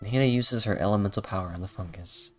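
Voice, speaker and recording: A man's voice: on the low side, quick pace, a bit bright, soft background music. A man giving casual, playful, bemused livestream commentary as he plays a game. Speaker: game streamer.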